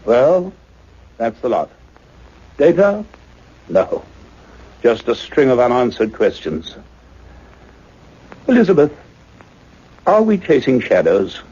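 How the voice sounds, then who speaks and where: A man's voice: medium pitch, wildly swooping pitch, slow, pauses often, dark tone, slightly rough, heavily acted. An actor playing an earnest male scientist, speaking in a precise, formal radio-play manner. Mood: pondering, intent. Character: earnest male scientist doctor narrating his investigation. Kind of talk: radio play acting and narration. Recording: dull, lo-fi radio drama recording.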